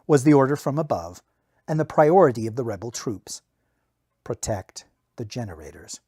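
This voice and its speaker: A man's voice: mid-range voice, darkish tone, no room sound. A man who hosts a podcast, speaking in the style of an amateur audiobook narrator in a close home recording. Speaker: podcast host reading a novel excerpt.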